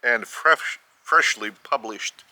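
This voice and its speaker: A man with a Lithuanian accent, whose first language is Lithuanian, giving a spiritual teaching in a measured way, often repeating and restarting phrases. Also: medium pitch, bright, forceful tone, low-quality recording. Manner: measured, with repetitions and careful restarts. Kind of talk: slow spoken teaching.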